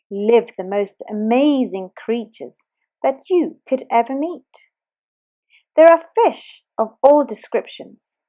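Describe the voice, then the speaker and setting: A woman reads a rhyming children's picture book aloud with a sing-song lilt. Her voice is fairly high and swoops widely, and she takes her time over each line. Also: a little mellow. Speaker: storybook reader.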